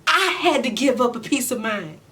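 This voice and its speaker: A woman in her forties playing a weary, proud, loving mother in an emotional stage monologue, speaking deliberately with heavy pauses. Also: animated, pushed and bright. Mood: regretful. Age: 40s. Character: weary, proud middle-aged mother and widow, wounded but loving. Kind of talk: emotional stage monologue, self-taped audition. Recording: distant mic, noticeable low rumbling noise.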